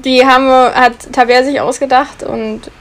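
A woman in her twenties from the Munich area, co-hosting a podcast over a video call, relaxed and giggly, often chiming in with short remarks. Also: high voice, moderate pace. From Munich area, Germany. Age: 20s. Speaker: podcast co-host. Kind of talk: casual chat.

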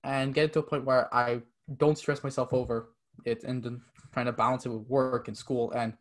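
A man's voice: medium pitch, little pitch movement, moderate pace. A young man from Canada, a podcast guest chatting casually, rambling with many fillers. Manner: rambling, many "like" fillers, acts out quoted texts. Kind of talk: casual interview chat.

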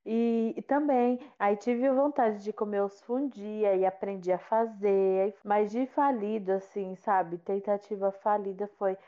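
A woman's voice: mid-range voice, fairly even intonation, a little slow, hardly any pauses. A woman in her late twenties co-hosting a podcast, chatting casually in a friendly, teasing and reassuring way. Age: late 20s. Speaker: podcast co-host. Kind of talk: casual chat. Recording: dull, lo-fi sound.

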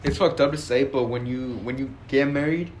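A young man on a casual podcast panel, slangy and sweary, carefully circling back to soften his points. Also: medium pitch, steady tone, a little fast, crowd noise. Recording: roomy echo, faint noise.

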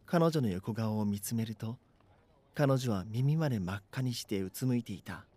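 A man's voice: fairly low pitch, lively intonation, moderate pace, dark tone. A man playing an anxious, bashful schoolboy who narrates his own story earnestly in a voice drama. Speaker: voice actor. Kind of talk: Japanese voice drama, first-person narration and dialogue.

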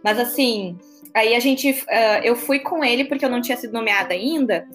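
A chatty woman in her thirties with a strong Porto Alegre gaúcho accent and a fairly high voice. She talks casually as an interview guest, laughing easily, with faint music behind her. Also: normal speed, fairly bright tone. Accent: Porto Alegre (gaúcho) Portuguese. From Porto Alegre, southern Brazil. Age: 30s. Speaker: guest, court officer and study mentor.

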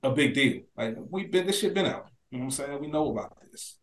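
A man's voice: medium pitch, very animated, quick pace. A man co-hosting a podcast, chatting casually with slang, swearing and strong opinions, in an echoey room. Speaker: podcast co-host. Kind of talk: casual chat.

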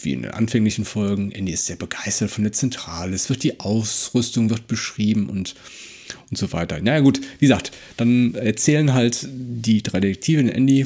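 A man's voice: lowish voice, warm tone, slightly rough. A man co-hosting a casual discussion, retelling and explaining a story in a conversational way. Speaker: podcast co-host.

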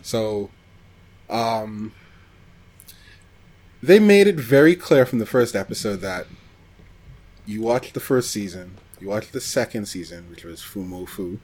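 A man co-hosting a podcast in casual chat, rambling and pausing as he recalls details. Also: on the low side, animated, darkish tone, husky. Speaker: podcast co-host. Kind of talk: casual chat about anime.

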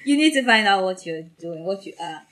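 A young woman from Nigeria in casual chat as a podcast co-host, animated and emphatic, often laughing. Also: medium pitch, a little fast.

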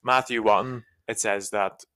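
A man's voice: lowish voice, steady tone, measured pace, pushed and bright. A man co-hosting a casual church podcast, asking questions in a playful, teasing way.

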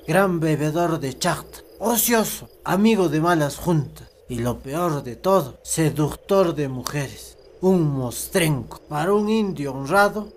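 A man's voice: a little high, slight background noise. A man playing a bitter, anguished rustic Andean father in a radio drama, brooding as he talks.

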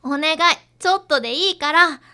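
A woman's voice: sits high, a little fast, bright, forceful tone. A woman playing a perky, overfamiliar young idol with casual, girlish speech in a radio drama. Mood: pleading, perky.

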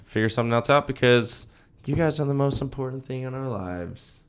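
A man's voice: mid-range voice, expressive, brisk, clear, resonant tone. A young American man co-hosting a podcast, chatting casually in a laid-back, slangy way. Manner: laid-back, slangy talk peppered with dude and bro. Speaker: podcast co-host. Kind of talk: casual chat, personal anecdotes.

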